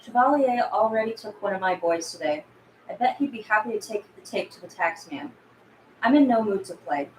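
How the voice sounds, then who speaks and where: A woman's voice: mid-range voice, expressive, fairly quick, darkish tone, close to an everyday voice. A sardonic, shrewd female boss of an underground crew, acted by a woman at a table read. She is hard-edged and foul-mouthed, always angling for leverage. The room is echoey with noticeable noise. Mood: menacing, smug. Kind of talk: table read of a film script.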